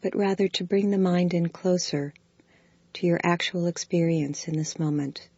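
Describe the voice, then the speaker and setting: A woman guiding a body scan meditation, giving slow, soft, calm instructions. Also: lowish voice, slightly rough, no echo, dull audio. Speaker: meditation guide.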